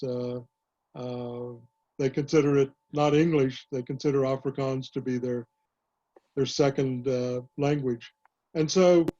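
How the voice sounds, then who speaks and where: An older man, a historian and author, answering questions in a panel talk, measured and full of pauses. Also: mid-range voice, dark tone, muffled recording. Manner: measured, many "uh" pauses and restarts. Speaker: author and historian, guest speaker.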